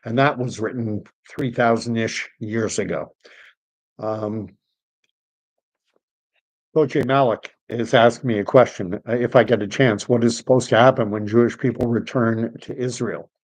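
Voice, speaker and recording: A man's voice: medium pitch, steady tone, measured pace, rough recording. A middle-aged man from Canada hosting a live stream, rambling with many fillers as he reads chat comments, his voice wearing out. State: losing his voice.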